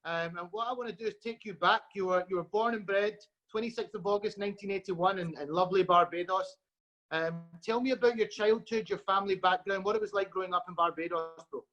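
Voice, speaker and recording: Scottish man hosting, high voice, muffled lo-fi sound, friendly and unhurried. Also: a bit bright. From Scotland. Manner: friendly, unhurried, many fillers. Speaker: host. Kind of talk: video-call interview.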